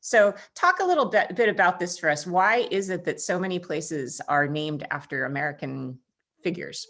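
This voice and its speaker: Low-voiced woman hosting a book chat. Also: moderate pace. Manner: lively, reads passages aloud. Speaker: book club co-host. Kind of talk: casual discussion and reading quotes.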